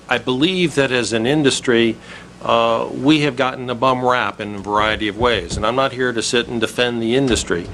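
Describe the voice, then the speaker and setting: A middle-aged or older man giving extemporaneous panel remarks in an earnest, measured, somewhat defensive way. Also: medium pitch, a bit bright. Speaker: conference commenter, investment consultant. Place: live conference session. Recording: faint background noise, live event.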